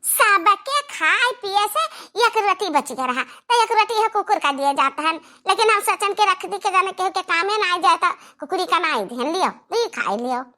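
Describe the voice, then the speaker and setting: A performer acts out a bossy, stingy mother-in-law in a cartoon comedy. Her high, sharp voice scolds with sarcasm in an Awadhi accent. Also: animated, moderate pace, few pauses, full character voice. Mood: grudging. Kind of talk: exaggerated cartoon comedy acting.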